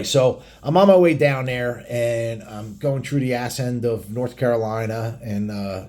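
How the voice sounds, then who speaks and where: A man's voice: lowish voice, a little mellow. A middle-aged man telling a rambling personal story casually, with blunt, crude and heavily profane talk. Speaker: biker vlogger telling stories.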